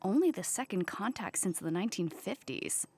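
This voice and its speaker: Woman acting an animated, earnest starship officer. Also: medium pitch, moderate pace, vocal fry, husky. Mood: dutiful. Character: earnest, literal-minded starship crew officer, easily indignant. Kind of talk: comedic sci-fi stage play reading.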